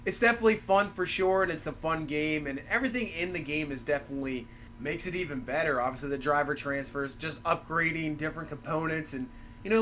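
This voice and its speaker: A man's voice: on the high side, a bit bright, slight background noise. A man with a cold, rambling through casual gameplay commentary and leaning on fillers like "obviously" and "for sure". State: has a cold. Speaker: gaming channel host.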